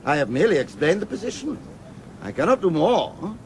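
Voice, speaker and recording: A man's voice: very animated, fairly quick, rough recording. A man playing a pompous, formal government official with a British accent in an audio drama. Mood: officious. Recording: faint rumbling background noise.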